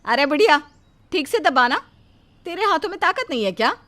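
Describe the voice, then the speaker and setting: A woman voicing a wicked, gloating eldest daughter-in-law who mocks in a shrill voice in a cartoon. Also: very animated, a little fast, sharp tone, full character voice. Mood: scolding. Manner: mocking, shrill.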